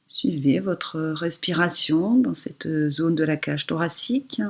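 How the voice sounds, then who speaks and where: A woman yoga instructor guiding a relaxation meditation in a soft, breathy voice, giving soothing, unhurried instructions with pauses. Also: deep voice.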